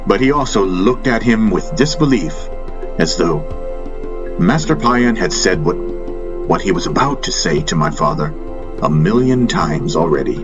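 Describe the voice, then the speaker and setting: Male fantasy audiobook narrator: deep, unhurried, solemn, dramatic phrasing, music underneath. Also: animated, muffled recording. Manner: measured, solemn, pausing between phrases. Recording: music behind the voice.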